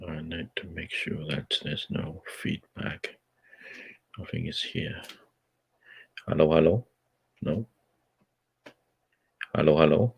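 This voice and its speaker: Very deep-voiced slow man, native Cantonese. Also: steady tone, vocal fry, slightly rough. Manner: measured, halting with fillers between phrases. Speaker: book club host. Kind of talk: reading a nonfiction book aloud.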